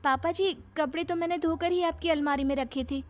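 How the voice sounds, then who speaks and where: A woman playing a dutiful, put-upon young daughter-in-law in an animated moral story, speaking in deferential phrases. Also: very high-pitched, fairly quick, voice pushed up. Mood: meek, obliging. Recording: music under the voice.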